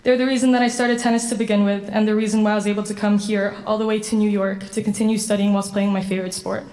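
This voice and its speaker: A woman's voice: medium pitch, quick pace. A young woman from Sweden, a university student athlete, giving an earnest prepared acceptance speech. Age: 20s. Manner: earnest, steady, slightly read-aloud delivery. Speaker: award recipient giving a speech.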